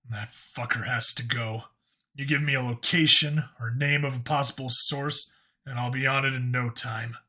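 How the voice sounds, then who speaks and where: A plainspoken, curious human cop from a sci-fi audiobook, played by a man. He talks casually and bluntly, a bit hesitant at times, in a middle-pitched, bright voice. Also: fairly even intonation, normal speed. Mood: determined, curious. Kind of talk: audiobook character acting.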